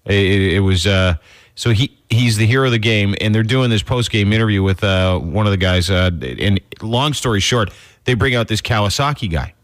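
A man co-hosting a casual morning radio show from a studio, rambling excitedly and laughing as he tells a story. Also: on the low side, moderate pace. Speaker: radio co-host. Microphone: studio microphone.

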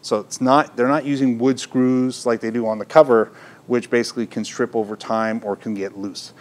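A man's voice: mid-range voice, steady tone, moderate pace. Middle-aged man demonstrating a product. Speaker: product reviewer, presenter. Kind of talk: unscripted product demonstration talk. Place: indoor audio gear shop, at a counter.

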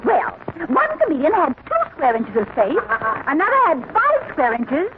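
High-voiced, sweetly cutting wife. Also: female voice, wildly swooping pitch, moderate pace. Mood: mocking. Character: sweetly insulting, doting wife. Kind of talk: 1940s radio comedy. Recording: very noisy, tinny old radio.

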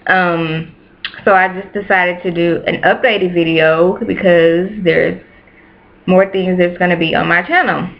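A woman's voice: on the low side, fairly even intonation, measured pace, gravelly. A woman in her late twenties chatting casually to camera in a small bedroom, repeating herself for emphasis. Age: 20s. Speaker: YouTuber. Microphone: webcam at close range. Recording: dull lo-fi webcam audio.

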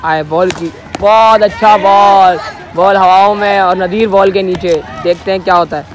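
A man's voice: high-pitched, steady tone, moderate pace. A man giving ball-by-ball commentary on an amateur street cricket match, calling each delivery in a repetitive set pattern, recorded outdoors on a phone.

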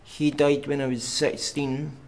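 A young man with a Glaswegian Scottish accent, giving personal testimony for a documentary in a halting, plain way with pauses and fillers. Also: on the high side, level tone, a little mellow, poor audio. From Scotland. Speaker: documentary interviewee. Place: outdoors on a city street at night.

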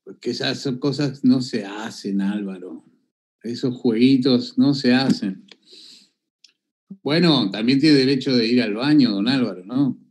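A man's voice: medium pitch, a little slow, a little mellow. A man hosting a video call interview, jovial and teasing, asking questions using vos. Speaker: interview host. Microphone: phone video call.

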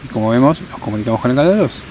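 A man's voice: mid-range voice, animated, very fast, background noise. A man giving a hands-on tutorial outdoors, explaining step by step and repeating key terms. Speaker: tutorial presenter. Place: outdoors on stony ground.

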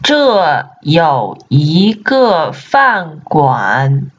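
Very slow woman drilling vocabulary. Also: sits low, big pitch swings. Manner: slow, deliberate repetition of words for learners. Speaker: language teacher. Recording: dull lo-fi sound.

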